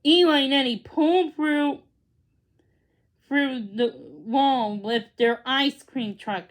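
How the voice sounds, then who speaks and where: A woman reading a children's storybook aloud, in a gentle, measured way. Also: high voice. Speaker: storybook reader. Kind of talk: children's read-along narration.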